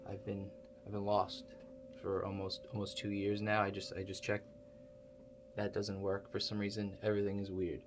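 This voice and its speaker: Deep-voiced man in ASMR roleplay, loud hum. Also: moderate pace. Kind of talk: ASMR roleplay, improvised talk to the listener. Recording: loud steady hum behind the voice.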